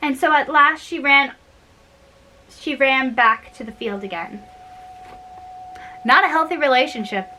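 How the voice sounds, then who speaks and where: A woman reading storybook tales aloud in a halting, deliberate way, with casual wry asides. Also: high voice, sharp tone, low-quality recording. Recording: noticeable background noise.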